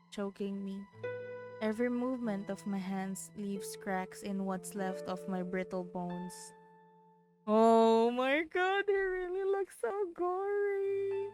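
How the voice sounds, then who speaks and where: A woman with a Filipino accent, streaming a game and reading its text aloud. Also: mid-range voice. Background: game music.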